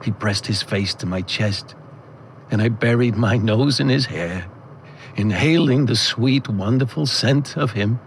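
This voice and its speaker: A man narrating a scripted audio drama in the first person with wistful, expressive storytelling. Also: mid-range voice, a little slow, a little hiss or hum.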